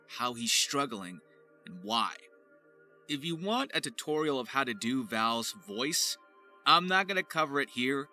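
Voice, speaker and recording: A man who works as a voice actor, hosting an explainer video with deliberate, emphatic phrasing. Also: medium pitch, bright, forceful tone. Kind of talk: scripted-sounding explainer narration.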